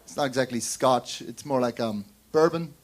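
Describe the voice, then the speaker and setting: A man from Northern Ireland with a Northern Irish accent, doing a wry, deadpan stand-up set on a club stage. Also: medium pitch, very noisy. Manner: wry, deadpan storytelling with quick character voices. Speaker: stand-up comedian.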